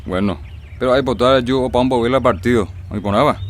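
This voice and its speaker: An actor playing a chatty father and football fan in a radio drama, talking in a relaxed conversational way. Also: mid-range voice, fast, a little hiss or hum, lightly acted. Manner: conversational, mixing Guarani and Spanish.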